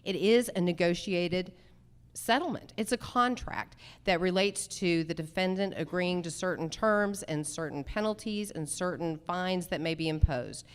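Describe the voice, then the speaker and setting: Woman, fairly low pitch, judicial candidate, formal, deliberate, articulate, emphatic. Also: smooth. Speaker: candidate for district judge. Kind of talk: formal forum answers, measured campaign speech.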